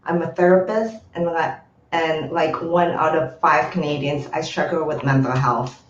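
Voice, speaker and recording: A woman from Indonesia whose first language is Indonesian, giving a steady, well-rehearsed startup pitch over a video call. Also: moderate pace, roomy sound, muffled recording, slight background noise.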